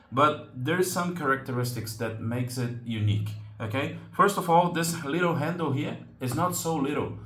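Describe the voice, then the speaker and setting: A man from Brazil, a native Portuguese speaker, hosting a slingshot channel and explaining a tool in English in a casual, halting way with many ums and restarts. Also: fairly high pitch, fairly bright tone, reverberant.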